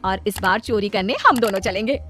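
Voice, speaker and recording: A woman's voice: medium pitch, fairly quick, fairly bright tone, soft background music, close to an everyday voice. A woman voicing a greedy, conniving elder daughter-in-law in a cartoon story. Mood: scheming.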